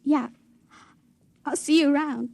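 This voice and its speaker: An actress playing a tender, trusting young woman in a 1950s radio drama, speaking softly and hesitantly. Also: high-pitched, fairly even intonation, unhurried, creaky. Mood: hurt, subdued. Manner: hesitant, earnest.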